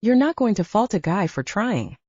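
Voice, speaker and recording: A woman's voice: mid-range voice, sing-song, moderate pace, darkish tone, slightly rough, no room sound, muffled recording. A woman voicing a cocky, flirtatious male werewolf chef in an audiobook, self-assured, with a Nevada accent.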